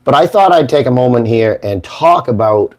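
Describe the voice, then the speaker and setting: A hoarse man hosts a casual morning show, rambling and joking in a folksy way. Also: mid-range voice, animated, normal speed. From Maine, United States. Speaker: host.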